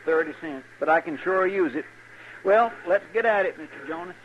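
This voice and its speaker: A man's voice: on the high side, expressive, moderate pace, lo-fi sound, lightly acted. A man acting a folksy, good-natured but complaining frontier sidekick with a rural Western American drawl in a 1950s radio western. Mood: eager, folksy. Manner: folksy, plain-spoken, rustic grammar. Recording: noisy, crackly 1950s radio recording.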